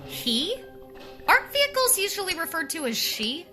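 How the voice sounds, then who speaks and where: An actress playing a wry, determined masked heroine and quick-witted strategist in a pulp radio drama. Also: female voice, extremely high pitch, very animated, sharp tone, soft background music, exaggerated voice. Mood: brisk, incredulous.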